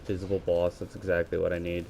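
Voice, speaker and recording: A man's voice: deep voice, completely flat delivery, quick pace, gravelly, a little mellow. A man with a scratchy voice giving rambling play-by-play commentary while gaming, talking into a headset. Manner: rambling, self-correcting play-by-play. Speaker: gaming video creator.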